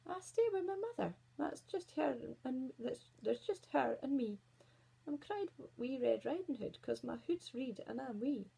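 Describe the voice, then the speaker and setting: Here is a woman acting a wee Scottish girl from a storybook. She is innocent, polite and chatty, with a high, lilting voice and artless, matter-of-fact delivery. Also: expressive, a little slow, gravelly, warm tone, husky, full character voice, poor audio.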